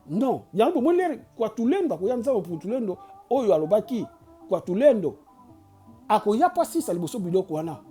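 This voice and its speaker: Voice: medium pitch, mellow. A host from Kinshasa with a Congolese accent, giving an impassioned, preachy address that switches between Lingala and French and often stumbles and restarts mid-sentence. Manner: preachy and urging, with frequent restarts and stammers. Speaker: channel host.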